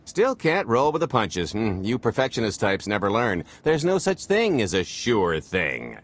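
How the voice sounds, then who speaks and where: An actor playing a glib, wry, teasing rogue and swindler in a space adventure video game. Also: male voice, on the low side, huge pitch swings, measured pace, full character voice. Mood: smooth, needling. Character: wry, smooth-talking roguish male space swindler.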